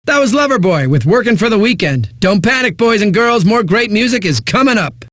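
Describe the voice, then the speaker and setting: High-pitched, wisecracking eighties radio DJ. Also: male voice, very animated, fairly quick, a bit bright, dry recording, studio quality, exaggerated voice. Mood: glib, upbeat. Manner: hyped, glib, sardonic. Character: breezy, smug, wisecracking male eighties radio DJ. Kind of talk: eighties music radio DJ patter.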